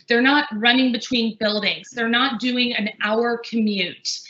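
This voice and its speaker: A woman's voice: fairly high pitch, pushed and bright, echoey room, lo-fi sound. A woman who works as a language trainer, speaking earnestly in a webinar panel discussion, with many fillers and restarts.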